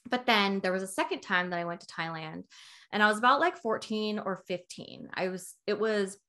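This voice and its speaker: A young woman with a bright, clear-toned voice talks through a relaxed podcast conversation. She strings her thoughts together with lots of "like"s and acts out other people's lines as she goes. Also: medium pitch, normal speed. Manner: chatty, heavy use of "like", acts out quoted people. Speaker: podcast co-host. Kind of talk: casual podcast chat.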